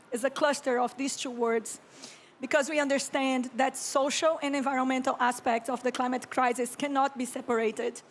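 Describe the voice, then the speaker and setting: High-voiced Brazilian woman giving a calm keynote. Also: a little hiss or hum. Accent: Brazilian Portuguese. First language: Brazilian Portuguese. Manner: slow, calm and reflective, with frequent throat clearing. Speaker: conference speaker, architect and urban planner. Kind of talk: reflective keynote talk. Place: conference stage.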